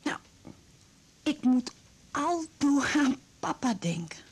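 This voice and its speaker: An actress playing a hesitant, wistful woman in a radio drama, confiding in a halting, hushed way. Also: high voice, sing-song, full character voice. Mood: tentative, wistful. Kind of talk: Dutch 1980s radio drama acting.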